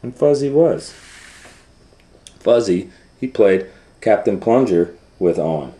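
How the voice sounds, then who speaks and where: Storytime reader, middle-aged man, deep and unhurried, whispers then booms, roomy sound. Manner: animated, swings from hushed whispers to loud excited voices. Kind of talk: reading a picture book aloud.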